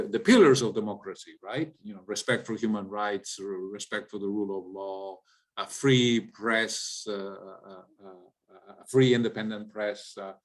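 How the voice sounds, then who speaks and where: A man from Latin America, an economist giving an informal explanatory lecture and often checking in with listeners. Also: lowish voice. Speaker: economist, guest speaker. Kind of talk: informal lecture with audience questions.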